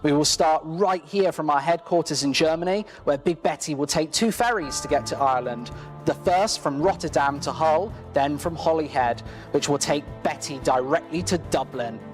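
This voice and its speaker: A man's voice: a little high, normal speed. Male promo narrator with music behind.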